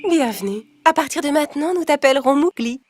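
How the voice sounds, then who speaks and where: A woman voicing a gentle, welcoming mother wolf in a children's storybook. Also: high voice, animated, fairly quick, faint music behind, pitched above the performer's own voice. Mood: warm, welcoming. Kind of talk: storybook cartoon dialogue.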